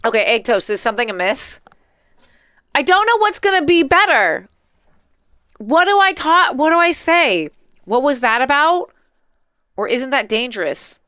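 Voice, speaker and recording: A talkative woman hosts a casual livestream with a bright, forward voice that is strikingly clean and smooth. She rambles off on tangents and reacts expressively, with playful drama. The sound is close and dry. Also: high-pitched, sing-song, a little slow, long pauses, no room sound. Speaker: live streamer. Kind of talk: casual chatty livestream commentary.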